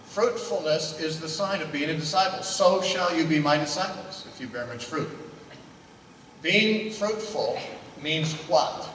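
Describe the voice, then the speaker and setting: An older man preaches a sermon, posing questions to the room and answering them. His voice sits high for a man. The recording is roomy, with a long hall reverb, and he sounds a bit away from the mic. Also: measured pace, fairly bright tone, recorded from a distance, slight background noise. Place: indoor meeting room. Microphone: handheld mic.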